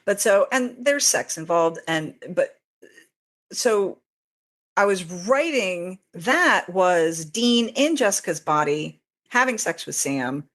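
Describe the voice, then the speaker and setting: A woman's voice: fairly low pitch, lively intonation, takes it slowly, fairly bright tone. A woman chatting casually as a podcast guest and fan fiction author, telling stories in a rambling, talkative way. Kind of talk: casual interview chat.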